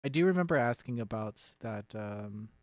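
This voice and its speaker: A man's voice: medium pitch, animated, normal speed, no room sound, studio quality. A man giving casual, off-the-cuff commentary as a streamer, blunt and sweary, rambling and often trailing off mid-thought.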